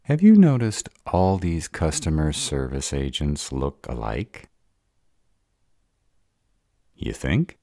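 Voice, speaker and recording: A man plays a short-tempered were-dragon with an extremely deep, creaky growl of a voice. He is gruff, sarcastic and protective, grumbling with wide swings in pitch, in an audio roleplay. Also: sing-song, takes it slowly, long pauses, dark tone, dry recording, clean studio sound, full character voice. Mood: grumbling, weary. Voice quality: deep, gravelly.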